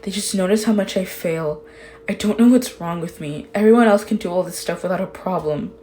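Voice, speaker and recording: A woman's voice: lowish voice, fairly quick, dark tone, natural voice. An anguished teenage boy, as a woman reader puts him on, pours out bitter self-loathing. The delivery is pleading and strained, a dramatic fan fiction reading with some noise behind it. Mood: quiet despair. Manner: emotional, pleading, strained outbursts.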